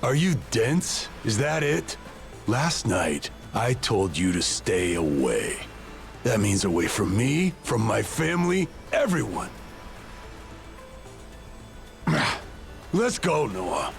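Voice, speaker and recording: A gruff, gravelly middle-aged father in a video game drama, bitter and hard-drinking. He speaks slowly and curtly, with big swings in pitch, over background music. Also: big pitch swings, heavily acted. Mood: angry, scolding. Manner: gruff, curt, swearing, dismissive.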